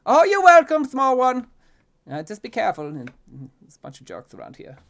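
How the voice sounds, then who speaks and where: Excitable, kindly gentleman acted by a game narrator, high-pitched, stammering, effusive, very animated delivery for a tabletop game. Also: brisk, creaky, exaggerated voice. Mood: delighted.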